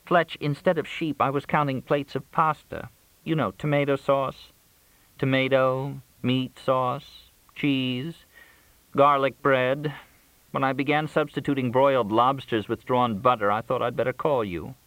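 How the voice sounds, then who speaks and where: A man's voice: mid-range voice, steady tone, measured pace, a bit bright, dry recording, voice pushed up, rough recording. A man voicing a plaintive, needy, hungry woman in audiobook dialogue.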